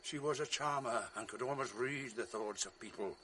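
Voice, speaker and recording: An actor playing a jealous noble general in a radio drama, grave and commanding with a resonant stage delivery. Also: male voice, mid-range voice, normal speed, sharp tone, a little hiss or hum, lightly acted. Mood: solemn. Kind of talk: 1980s radio drama acting.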